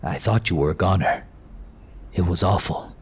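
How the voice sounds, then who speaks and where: An actor playing a haunted young mercenary in a video game, earnest, intense and halting. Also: male voice, low-pitched, lightly acted. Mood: subdued brooding. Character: brooding young male mercenary, haunted and wounded. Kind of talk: dramatic video game acting. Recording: music behind the voice.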